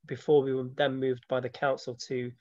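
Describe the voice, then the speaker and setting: A dry, slightly muffled recording of a man. He talks about himself informally, as an interview guest. His delivery is reflective and halting, at a middling pitch. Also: little pitch movement, warm tone, no echo. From born in Slovakia, grew up from age seven in London. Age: 30s. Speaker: interviewee, film maker and photographer. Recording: dull lo-fi audio, dry.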